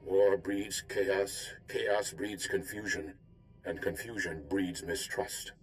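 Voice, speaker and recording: An actor playing a courteous, grave Jedi diplomat in a video game, speaking formally and deliberately. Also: male voice, low-pitched, fairly even intonation, faint music behind, low-quality recording. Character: courteous, subtle male Jedi diplomat and intelligence observer. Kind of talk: video game cutscene acting.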